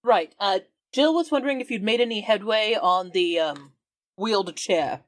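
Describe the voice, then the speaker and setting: A woman's voice: mid-range voice, expressive, moderate pace, no echo. A woman improvising in-character conversation as a tabletop game player, polite and appreciative.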